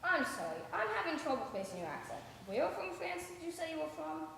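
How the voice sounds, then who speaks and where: Woman playing echoey, high-voiced lovestruck bridegroom. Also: very animated, fairly quick, vocal fry, a bit bright, exaggerated voice. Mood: polite, befuddled. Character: earnest, gullible, dreamy young bridegroom, clumsy and lovestruck. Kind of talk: stage musical comedy acting. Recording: echoey hall, faint background noise.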